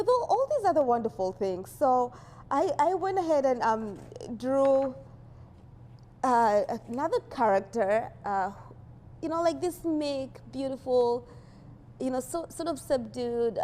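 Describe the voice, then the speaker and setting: A woman's voice: high-pitched, expressive, a little slow, darkish tone. Woman from uganda, casual artist talk, rambling with fillers, faint background hum. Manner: rambling, full of "you know" fillers; laughs easily. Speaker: artist presenting her work. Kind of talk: casual talk about her artwork.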